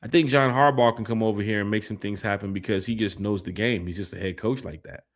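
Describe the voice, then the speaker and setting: A man's voice: lowish voice, quick pace. A man co-hosting a casual sports podcast, talking in a laid-back, joking drawl. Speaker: podcast co-host.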